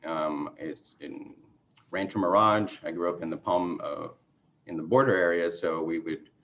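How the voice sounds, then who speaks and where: Middle-aged man from Mexico, very low voice, halting and rambling with lots of fillers, muffled lo-fi video call audio. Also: slight background noise. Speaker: party chair candidate. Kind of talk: casual conversational self-introduction.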